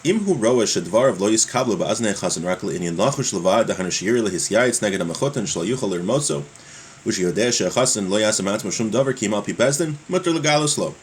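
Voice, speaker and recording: Low-voiced man teaching religious law. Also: animated, quick pace, slight background noise. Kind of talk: religious law lesson, reading a text aloud and explaining it.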